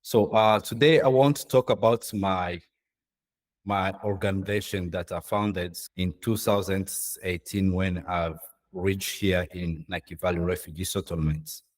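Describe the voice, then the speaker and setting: A man with a deep voice gives an informal presentation as a guest on a video call. His delivery is earnest and halting, with non-native English phrasing. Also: fairly even intonation. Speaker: guest speaker, social entrepreneur. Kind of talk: informal presentation of own work.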